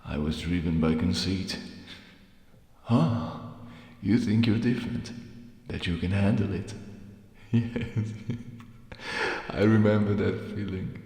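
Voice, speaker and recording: A very low, growling undead merchant played by a man in a dark fantasy game. He speaks slowly and wearily, mocking whoever he meets with bitter scorn. The voice rings with echo and long reverb. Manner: weary, taunting, drawn-out phrasing. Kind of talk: video game character acting.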